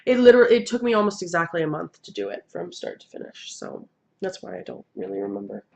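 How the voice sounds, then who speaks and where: A woman's voice: fairly low pitch, sing-song, fast talker, creaky, darkish tone. A woman in her thirties hosting a knitting video podcast from her couch, talking casually with lots of ums, sniffly from a cold. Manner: rambling, hesitant with many ums, self-deprecating. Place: living room, seated on a couch indoors. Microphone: webcam at desk distance.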